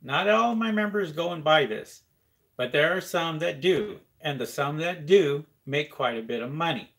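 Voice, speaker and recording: A man's voice: on the high side, expressive, normal speed, vocal fry, fairly bright tone. A man hosting a live question-and-answer stream, chatting casually with many fillers and reading viewer comments aloud.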